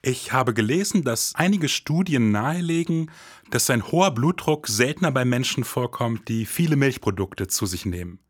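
A man's voice: medium pitch, animated, normal speed, no room sound, clean studio sound. A man hosting and narrating a podcast in a dramatic, brooding, hard-boiled detective manner. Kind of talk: scripted noir detective narration.

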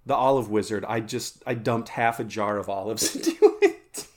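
A man's voice: fairly low pitch, normal speed, darkish tone. Rambling, jokey male podcast co-host. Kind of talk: casual podcast banter.

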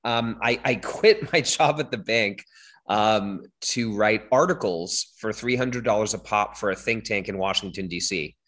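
Low-pitched man in his thirties, interview guest, chatty, self-correcting storyteller. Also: measured pace, a bit bright. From born in Hong Kong, raised in London. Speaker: guest, company founder.

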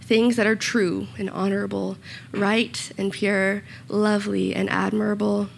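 A young woman giving a short church sermon with a slightly raspy voice, earnest and personal, with small self-conscious asides. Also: medium pitch, flat delivery, unhurried, slight background noise. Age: young adult. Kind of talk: sermon with scripture reading and prayer. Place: church room.

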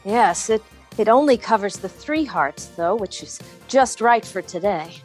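A woman playing an earnest, halting grandchild giving a testimonial in an improvised spoof commercial. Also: mid-range voice, expressive, faint music behind, natural voice. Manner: slow, halting. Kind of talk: improvised parody radio commercial.

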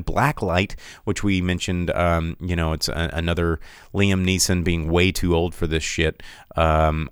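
Man, low-pitched, smooth clear voice, dry close sound, casual rambling podcast host. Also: no echo. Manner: chatty and rambling, with many fillers and restarts. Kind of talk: casual movie box office rundown.

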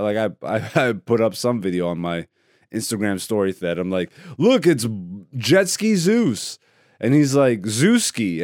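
A man's voice: mid-range voice, no room sound, clean studio sound. Laid-back, profane male comedian host. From Greece. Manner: laid-back, profane, laughing, with sudden loud excited bursts. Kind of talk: casual solo podcast chat.

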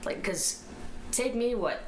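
A woman in a band, chatting casually in an interview and telling lively stories, her voice jumping up when she gets excited. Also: on the high side, takes it slowly. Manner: animated storyteller, voice jumps up when excited. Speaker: band member and singer. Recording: echoey room with background noise.

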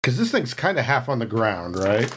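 A man talks casually as a player at a tabletop game. His voice sits at an ordinary middle pitch, and a little noise runs faintly behind it. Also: very animated, moderate pace, a bit bright.

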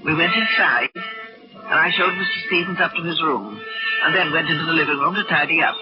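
A woman's voice: deep voice, expressive, a little fast. An American woman playing an anxious, polite housewife in an old radio suspense drama, speaking earnestly and a little breathlessly with dramatic weight. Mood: earnest narrating. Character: anxious, polite housewife narrating her own suspense tale. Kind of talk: 1950s radio drama acting, first-person narration. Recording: muffled old radio broadcast, loud music behind.

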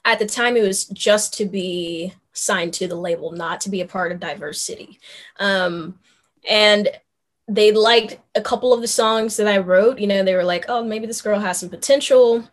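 A woman's voice: medium pitch, moderate pace. Chatty young woman from Memphis. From Memphis, Tennessee, USA. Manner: chatty storyteller with many ums and you knows. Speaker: guest musician. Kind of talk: casual interview chat.

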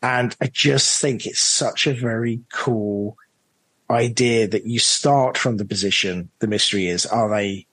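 A man's voice: lowish voice, a little slow, a bit bright, husky, dry recording. A man being interviewed as a drama director, chatting in an enthusiastic, emphatic way. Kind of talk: animated interview chat.

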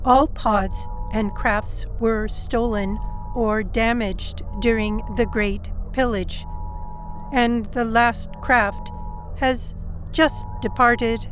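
Slow, impassive ship computer. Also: female voice, fairly high pitch, steady tone, faint music behind. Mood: impassive, formal. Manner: formal, impassive, deliberate announcements. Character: calm unyielding female ship computer envoy announcing doom. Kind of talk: scripted audio drama acting.

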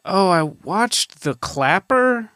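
A man co-hosting a podcast, chatting casually about movies in a hesitant, deadpan way. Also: high voice, slow, no room sound, clean studio sound. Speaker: podcast co-host.

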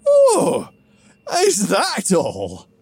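A man voicing a battered old male dwarf warrior who slurs his words and mocks with loud sarcasm. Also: fairly high pitch, huge pitch swings, takes it slowly, pitched above the performer's own voice, heavily acted. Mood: sarcastic. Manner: slurring, loud and mocking sarcasm.

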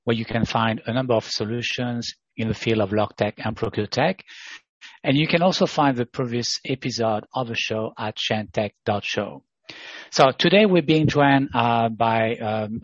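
Male podcast host, halting delivery with frequent fillers, husky voice, dry close sound. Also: mid-range voice, no echo, highs cut off. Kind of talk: podcast hosting and interviewing.

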